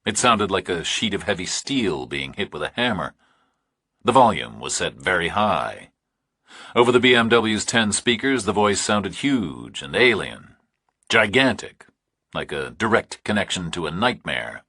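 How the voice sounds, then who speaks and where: A male audiobook narrator with an extremely low, gravelly voice reads a thriller. His delivery is slow and forceful, swinging in pitch, in a dry close recording. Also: very animated, no echo.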